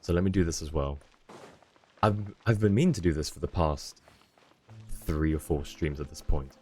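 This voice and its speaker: Male game streamer, very low pitch, casual chat, faint music in the background. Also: normal speed, dark tone. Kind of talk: casual chat while playing a game for viewers.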